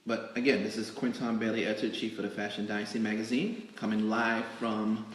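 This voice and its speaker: A man with a fairly low voice hosts an improvised live show from a roomy, echoing indoor space. He talks casually and haltingly, rambling along to fill time. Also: flat delivery, a little hiss or hum. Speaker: magazine editor hosting a live interview show. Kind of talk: casual improvised livestream hosting. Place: indoors in a cake studio.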